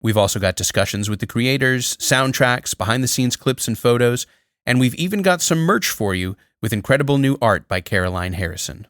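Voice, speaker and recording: A man's voice: mid-range voice, animated, brisk, a bit bright, smooth, no echo, clean studio sound. A man reading a scripted promotional message as a podcast host.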